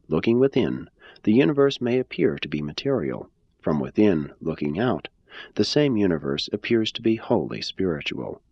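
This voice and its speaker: A man giving a measured, phrase-by-phrase scripted reading of a religious text. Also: fairly low pitch, a little mellow, slightly rough, no room sound, dull audio. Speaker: reader.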